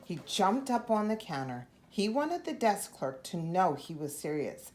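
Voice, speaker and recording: A woman reading a children's picture book aloud as an expressive storyteller, acting out the dialogue as she goes. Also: on the low side, measured pace, slight background noise. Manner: animated, acts out the dialogue. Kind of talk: expressive read-aloud narration.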